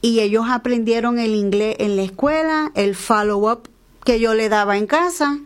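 A woman's voice: on the high side, moderate pace. A woman with a Puerto Rican Spanish accent, raised in the United States, being interviewed about an arts school and speaking earnestly, often repeating her words. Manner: earnest, repeats words while searching for them. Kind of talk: interview conversation.

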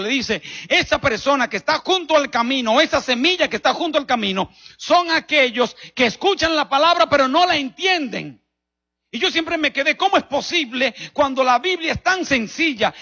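A man with a Dominican accent preaching a sermon as a pastor, telling stories emphatically with rhetorical questions and dramatic pauses. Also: extremely high pitch, bright, forceful tone. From Dominican Republic. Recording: dull recording in a reverberant hall.

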